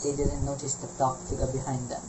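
A man's voice: mid-range voice, monotone, fast talker, background noise. A young man reading scripted story narration for a reader's theater piece. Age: young adult. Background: music with night insects.

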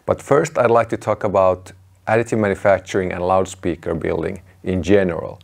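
A man in his thirties giving a measured, matter-of-fact explanatory talk to camera as a presenter. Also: deep voice, fairly even intonation, vocal fry.

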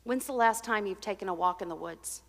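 A woman in her forties preaching at a church pulpit, conversational and playful, then soft and reflective. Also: medium pitch, a little fast. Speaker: pastor. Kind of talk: sermon. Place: church sanctuary, indoors. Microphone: stand mic at a pulpit. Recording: long church reverb.

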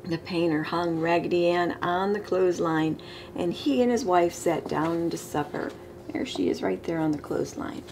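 A middle-aged woman reading a children's picture book aloud with expressive, emphasized storybook delivery. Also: fairly low pitch, normal speed. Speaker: storytime reader. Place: living room, indoors. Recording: clear background room noise.

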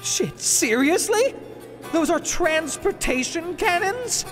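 A man's voice: very high voice, huge pitch swings, slow pace, heavily acted. Guy playing a sassy flamboyant wizard, draggy sardonic delivery, music behind, comic dub. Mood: flippant. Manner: drawling, sardonic. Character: flippant, drawling, flamboyant male wizard. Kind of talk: scripted cartoon acting.

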